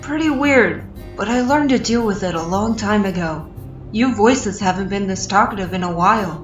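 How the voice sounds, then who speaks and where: A woman's voice: medium pitch, lively intonation, moderate pace, reverberant, recorded from a distance, lo-fi sound, natural voice. A woman voicing a weary but polite young male adventurer in a comic fan dub. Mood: matter-of-fact. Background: music.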